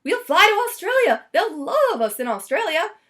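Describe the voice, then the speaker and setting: A woman voicing an excitable male stage showman from a storybook, eager, theatrical and emphatic. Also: extremely high pitch, normal speed, voice pushed up, full character voice. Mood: excited.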